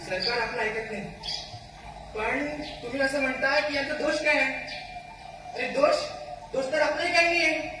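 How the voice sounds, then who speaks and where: A fierce jungle creature out for revenge , acted by a man on stage, declaims in a very high voice. The speech is accusing and full of rhetorical questions. It rings out from far off in a very echoey space with clear background noise. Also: moderate pace, pauses often. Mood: bitter, rousing. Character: vengeful, fierce jungle animal who wants captive humans killed. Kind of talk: Marathi one-act stage play acting. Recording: echoey hall from far off, noisy.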